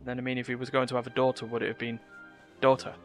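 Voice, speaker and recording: A man's voice: medium pitch, completely flat delivery, very fast, soft background music. A man streaming a video game, giving casual live commentary and musing aloud in a wry way.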